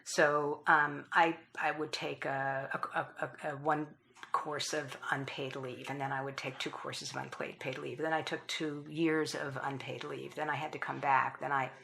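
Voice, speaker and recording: A woman's voice: rumbling low, level tone, normal speed, vocal fry, a little hiss or hum. A middle-aged woman, a philanthropist and former professor, talking thoughtfully in an interview and often restarting her sentences. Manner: thoughtful, with frequent restarts and soft laughs. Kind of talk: conversational interview.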